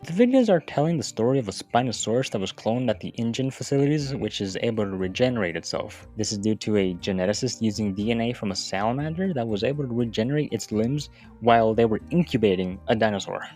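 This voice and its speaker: A man's voice: lowish voice, expressive, fast talker, darkish tone. A man narrating a video essay in a casual, informal way, with wry, sweary asides. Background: background music.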